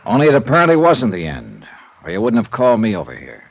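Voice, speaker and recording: A narrow, vintage radio recording carries a male insurance investigator from a 1950s drama. He is wry and steady, delivering dry remarks with a lively, swinging pitch. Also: fast, heavily acted. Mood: probing. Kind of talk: 1950s radio drama acting, first-person narration and dialogue. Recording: band-limited old radio recording.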